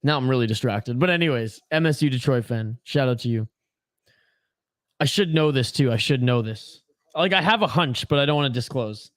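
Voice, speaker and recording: A man's voice: medium pitch, lively intonation, normal speed, dry recording, clean studio sound. A young Canadian man hosting a live hockey watch-along, chatting casually, reading viewer comments aloud and swearing freely. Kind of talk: casual live-stream chat.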